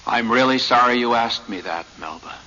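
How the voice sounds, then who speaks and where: An actor in an old radio drama playing a gentle male ghost, speaking tenderly and formally. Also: mid-range voice, moderate pace, natural voice. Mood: tender, sorrowful. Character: gentle solemn male ghost consoling his beloved. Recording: muffled noisy old radio broadcast.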